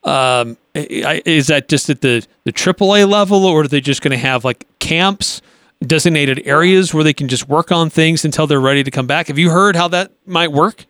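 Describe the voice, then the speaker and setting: Male sports talk host, slightly high voice, conversational interviewer, very dry sound. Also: normal speed, fairly bright tone, dry recording.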